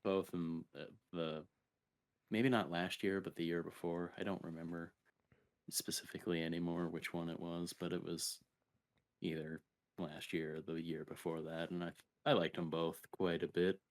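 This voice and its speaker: A man with a low voice co-hosts a video game podcast. His delivery is casual and conversational, qualifying what he says as he recalls it. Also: level tone, normal speed. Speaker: podcast co-host.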